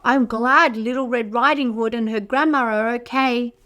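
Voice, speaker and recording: A woman reading a picture book aloud to toddlers as a storyteller, expressive and speaking directly to young listeners. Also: on the high side, normal speed, a little hiss or hum. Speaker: children's storyteller.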